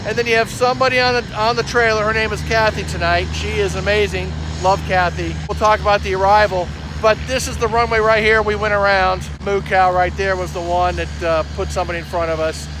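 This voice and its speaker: High-pitched American man over outdoor noise. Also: level tone, fairly quick, hardly any pauses. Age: middle-aged. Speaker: air traffic controller giving a tour as host. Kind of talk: informal explanatory vlog talk. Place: outdoors at an airfield and open grounds. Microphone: phone at arm's length. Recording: noisy outdoor airfield with vehicle sounds.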